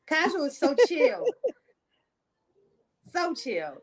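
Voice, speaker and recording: A woman's voice: high-pitched, big pitch swings, very slow, a crowd in the background. A woman with a Baltimore accent hosting a casual podcast, laughing often as she reads viewer comments aloud. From Baltimore, USA. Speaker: podcast host. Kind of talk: casual live-stream chat.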